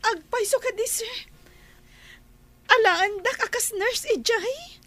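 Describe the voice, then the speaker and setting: A woman's voice: extremely high pitch, slow pace, bright, forceful tone, exaggerated voice. A woman voicing a polite young nurse, newly hired and unsure of herself. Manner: polite, hesitant. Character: humble young nurse seeking work.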